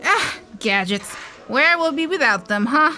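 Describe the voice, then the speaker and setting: High, chirpy cafe server. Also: female voice, lively intonation, measured pace, pushed and bright, faint music behind, heavily acted. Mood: friendly, chatty. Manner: chirpy, familiar, casual. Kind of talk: audio drama dialogue.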